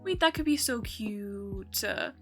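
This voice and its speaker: Bright, chatty young woman streamer. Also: mid-range voice, expressive, takes it slowly, faint music behind. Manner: rambling, chatty, self-correcting with many fillers. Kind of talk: casual chat while playing a building game.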